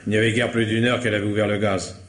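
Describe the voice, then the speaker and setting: An actor playing a breezy, colloquial doctor in a radio mystery. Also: male voice, fairly low pitch, level tone, racing, echoey room, slight background noise, natural voice. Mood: breezy, reassuring. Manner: offhand, colloquial. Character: casual, breezy male doctor. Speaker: actor in a radio crime drama.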